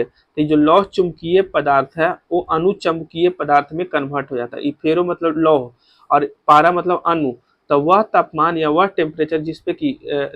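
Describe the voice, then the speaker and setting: A man's voice: a little high, no echo, dull audio. A man with a Bihari accent teaching an exam-prep lesson, repeating key points instructively and mixing in English technical terms. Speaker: exam coaching physics teacher. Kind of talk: explanatory exam-prep lecture.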